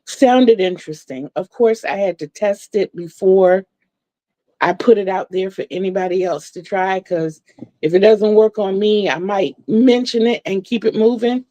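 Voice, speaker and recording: A woman's voice: mid-range voice, normal speed, dark tone, no room sound. A woman in her sixties chatting casually to viewers from home, laughing often and repeating words. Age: 60s. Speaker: livestream vlogger. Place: home room lit by a floor lamp.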